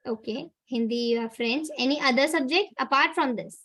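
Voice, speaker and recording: High-voiced Indian woman teacher. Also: brisk, a bit bright. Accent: Indian English. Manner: chatty, repeats "right?" to check in with students. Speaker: online teacher. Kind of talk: motivational talk to students in a live class.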